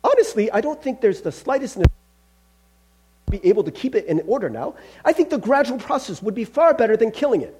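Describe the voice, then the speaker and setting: A man's voice: sits high, sing-song, a little fast, darkish tone, slight background noise, pitched above the performer's own voice, rough recording. A man voicing a nervous, evasive ghost in a story reading, over-polite, apologetic and flustered. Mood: flustered, placating.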